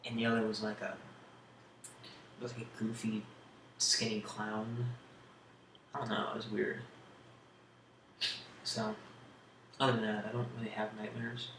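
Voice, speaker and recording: A man speaking in a casual ASMR question-and-answer style, rambling hesitantly in a low-key way. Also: little pitch movement, measured pace, long pauses, fairly bright tone. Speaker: video creator answering tag questions. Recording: roomy echo, clear background noise.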